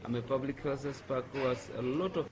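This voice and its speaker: A man's voice: medium pitch, brisk, background noise. Ugandan man giving a prerecorded talk. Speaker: guest speaker.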